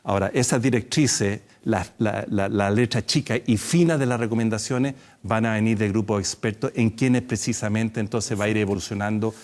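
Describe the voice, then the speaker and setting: Low-voiced man explaining calmly. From Chile. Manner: measured, explanatory, with hesitations. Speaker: guest doctor. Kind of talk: studio TV interview. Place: TV studio.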